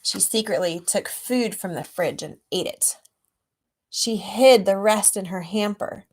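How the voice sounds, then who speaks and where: A woman reading a children's storybook aloud expressively and gently, with chatty asides to young listeners. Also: medium pitch, measured pace. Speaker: children's author reading own book aloud.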